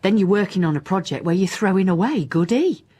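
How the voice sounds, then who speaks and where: A woman acting a driven, weary police inspector in a radio drama, with a blunt, dry, questioning manner and a husky voice. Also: mid-range voice, expressive, fairly quick, mellow. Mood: weary musing. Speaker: actor.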